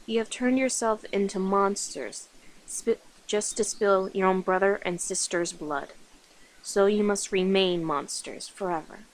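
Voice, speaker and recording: A woman playing a coy, centuries-old vampire confiding in a human lover in an ASMR roleplay, speaking in short phrases with pauses. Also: medium pitch, natural voice, poor audio. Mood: solemn storytelling. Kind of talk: ASMR roleplay, addressed to the listener.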